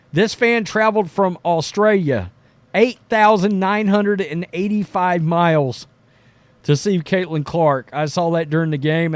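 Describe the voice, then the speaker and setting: Guy with a high clear voice doing blunt casual sports talk, very dry close sound. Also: no room sound. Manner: blunt, informal, mildly profane. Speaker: sports commentator, show host. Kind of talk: casual opinionated sports commentary.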